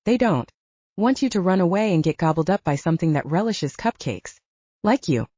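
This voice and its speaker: A woman's voice: lowish voice, animated, normal speed, dark tone, no room sound, muffled recording. A woman voicing a blunt, protective young male butcher who teases, in an audiobook. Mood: teasing. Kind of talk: audiobook character dialogue.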